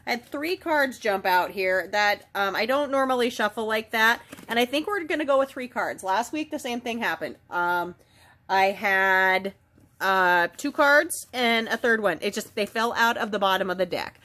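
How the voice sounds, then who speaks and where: Woman host, nasal from a head cold, chatty and gentle oracle reader, medium pitch. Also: normal speed. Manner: chatty, gentle, with frequent ums and self-corrections. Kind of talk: casual spiritual card reading.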